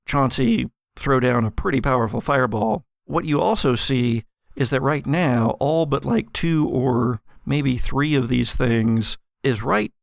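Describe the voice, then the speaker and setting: A man narrating a tabletop role-playing game as game master, telling the story dramatically with deliberate pauses. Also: medium pitch, creaky, no echo. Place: dry home studio.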